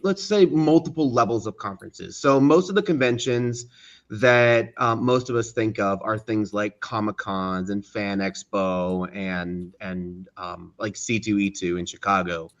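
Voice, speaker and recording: A man's voice: fairly low pitch, a little slow, a bit bright. Man with slightly dull sound, casually recounting. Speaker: interview guest, studio co-founder.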